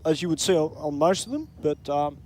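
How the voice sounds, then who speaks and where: A man's voice: fairly high pitch, expressive, brisk, darkish tone, slight background noise. A man with an Australian accent calling drag races in live commentary, chatty and upbeat in easy banter.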